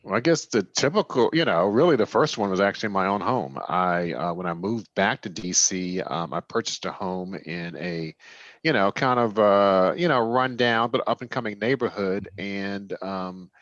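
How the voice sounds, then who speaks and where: A man's voice: fairly low pitch, lively intonation, normal speed, a bit bright, dry recording, dull audio. A man in his sixties from Washington, D.C., answering interview questions conversationally as a real estate developer, explaining things through personal anecdotes. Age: 60s.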